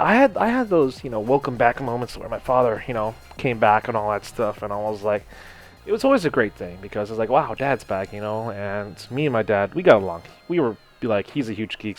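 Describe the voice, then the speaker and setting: A man's voice: on the low side, expressive, fairly quick, soft background music. Rambling young man telling his story. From Hawaii, United States. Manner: rambling, heavy with "you know" and "like", casual swearing. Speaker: gaming channel host. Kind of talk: casual personal storytelling over gameplay.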